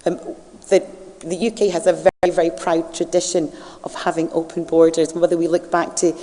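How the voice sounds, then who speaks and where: Scottish woman, candidate at hustings, lowish mellow voice, long reverb. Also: level tone, moderate pace. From Scotland. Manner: earnest, with frequent fillers and restarts. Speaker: parliamentary candidate. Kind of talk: campaign hustings speech.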